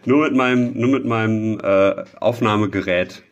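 A man's voice: fairly low pitch, normal speed. A man co-hosting a podcast, chatting casually with a rambling, self-deprecating, joking manner. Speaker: podcast co-host. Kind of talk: casual banter between friends. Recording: dull lo-fi sound.

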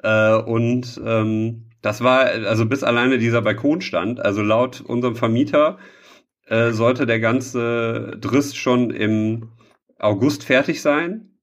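A man's voice: mid-range voice, steady tone, takes it slowly. A man co-hosting a podcast, chatting casually with a rambling, self-deprecating, joking manner. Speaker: podcast co-host. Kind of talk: casual banter between friends. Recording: dull lo-fi sound.

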